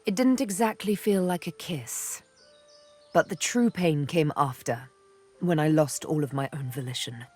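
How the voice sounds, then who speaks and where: A woman's voice: deep voice, measured pace, darkish tone, husky. A woman voicing a sardonic, vengeful elf huntress for a video game, with arch, teasing, theatrical phrasing. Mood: wry, guarded. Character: sardonic, vengeful female elf, former slave turned huntress. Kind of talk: video game character dialogue. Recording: background music under the voice.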